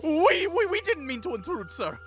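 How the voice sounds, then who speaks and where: Casting: actress as a scared young lad, very high and wildly swooping, stammering and anxious, audio drama. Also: female voice, sing-song, moderate pace, soft background music. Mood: frightened. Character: frightened, timid young boy on the run. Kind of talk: audio drama character acting.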